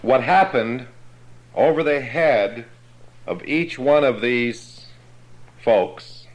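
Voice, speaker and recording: A man teaching a Bible class in a sermon style, explaining slowly and checking that his listeners understand. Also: mid-range voice, poor audio.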